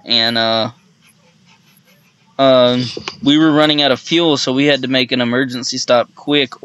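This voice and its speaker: A man co-hosting a storytelling series, casually improvising as he goes, on a slightly muffled low-fi microphone. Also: a bit bright, smooth, dry recording.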